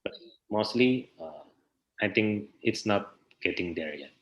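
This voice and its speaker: Male interview guest, Indonesian accent, lowish pitch, very unhurried, halting and reflective delivery with repeated phrases. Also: lively intonation, dull audio. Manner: hesitant, searching for words, repeats phrases. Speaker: interview guest, theatre researcher and festival director. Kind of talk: thoughtful interview answers.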